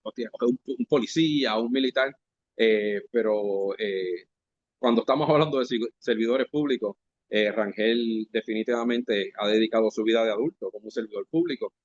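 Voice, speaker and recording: A man hosting a podcast interview, asking questions in a halting, conversational way. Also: fairly low pitch, dry recording. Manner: conversational, halting, full of fillers and restarts. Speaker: podcast host. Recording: dry, slightly dull podcast audio.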